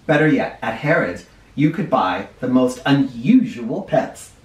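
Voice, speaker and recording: Man, teacher reading a picture book to kids, lively up-and-down voice, roomy echo, a bit off-mic. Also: medium pitch, a little slow, far from the mic. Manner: expressive, stops to explain words to kids. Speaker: first grade teacher reading aloud. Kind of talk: children's read-aloud with asides.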